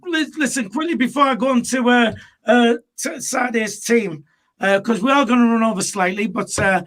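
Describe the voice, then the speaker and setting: A man's voice: extremely high pitch, moderate pace, a bit bright. A man hosting a live football fan show, blunt and full of fillers as he moves between panelists. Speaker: host.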